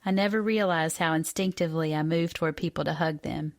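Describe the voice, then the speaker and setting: A woman's voice: deep voice, fairly even intonation, a little fast. A woman in her fifties from the United States reading a personal essay aloud as a scripted narration. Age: 50s. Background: music.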